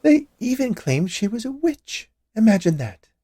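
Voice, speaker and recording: Guy putting on an ancient lady witch for a storybook, high pitched, gushy and theatrical, very polite but vengeful. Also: big pitch swings, normal speed, warm tone, no room sound, clean studio sound. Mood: indignant. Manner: gushing, theatrical, overly polite. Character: large, century-old witch confectioner, chatty turning vengeful. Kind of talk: dramatized short story reading.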